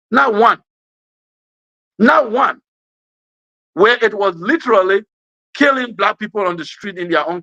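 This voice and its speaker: A man's voice: high voice, sharp tone, no echo, highs cut off. A man with a West African accent gives an impassioned political speech, emphatic and repeating phrases for effect. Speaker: speaker giving an address.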